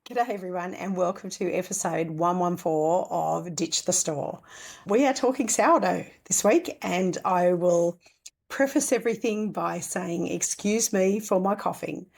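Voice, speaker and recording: An Australian woman hosting a homesteading podcast, hoarse and croaky after being ill, talking in a chatty, rambling way that is full of self-corrections. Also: fairly low pitch, a little slow, darkish tone. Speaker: podcast host. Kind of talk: casual podcast monologue.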